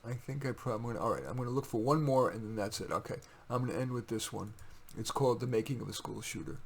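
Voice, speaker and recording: A man with a mid-pitched voice presents poems he wrote himself. He declaims the verse with theatrical emphasis and speaks casually between pieces. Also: fast talker, vocal fry, husky. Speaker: poet reading own work. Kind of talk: poetry reading with casual asides.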